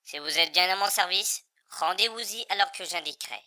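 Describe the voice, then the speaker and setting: A woman's voice: fairly low pitch, fairly quick, bright, forceful tone, faint music behind. A woman voicing a cold, secretive underworld boss's messenger in a French audio drama, formal, clipped and menacingly polite. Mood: stern threatening.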